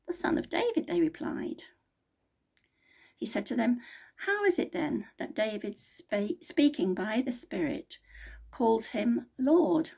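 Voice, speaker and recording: A woman's voice: mid-range voice, creaky, a little mellow, slightly rough. A woman reading the Bible aloud as a member of a church.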